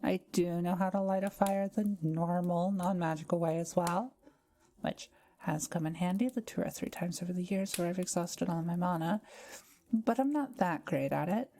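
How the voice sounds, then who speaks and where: Woman voicing a mellow, gentle young forest witch. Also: lowish voice, natural voice. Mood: cozy, friendly. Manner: gentle, unhurried, softly playful. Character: kind, welcoming solitary young forest witch. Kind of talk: roleplay audio drama addressed to the listener.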